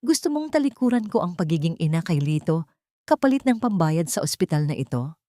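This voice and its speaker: An anguished poor mother of audio drama, acted by a woman narrator. She pleads haltingly in disbelief, in a dry, close recording. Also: lowish voice, very animated, dark tone, no echo. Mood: hurt disbelief.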